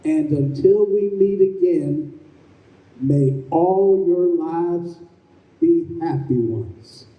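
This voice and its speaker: A man playing a remorseful dead husband who narrates his own story in an old-time radio play, with measured, folksy delivery. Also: fairly high pitch, narrow, tinny audio. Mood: warm farewell. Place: convention hall stage. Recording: echoey hall, distant microphone.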